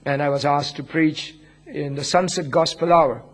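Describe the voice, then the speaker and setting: Husky preacher with an Indian accent. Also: male voice, fairly high pitch, steady tone, moderate pace. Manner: humble, earnest, chuckles often. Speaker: pastor. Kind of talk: sermon, personal testimony.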